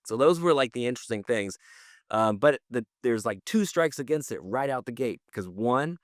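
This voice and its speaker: A man's voice: medium pitch, lively intonation, normal speed, no room sound, clean studio sound. A man co-hosting a podcast in casual conversation, giving slangy opinions and thinking aloud. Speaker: podcast co-host.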